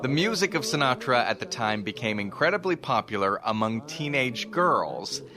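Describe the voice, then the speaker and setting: Male presenter, explanatory narration, mid-range pitch, plain delivery. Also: very animated, moderate pace, background noise. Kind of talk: explanatory narration on old-time radio history.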